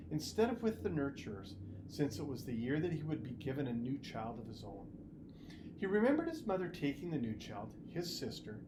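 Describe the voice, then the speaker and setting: A man reading a novel aloud in an expressive storytelling style, with emphatic, deliberate phrasing and short pauses. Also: medium pitch. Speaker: school superintendent reading aloud. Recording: noticeable background noise and ambience.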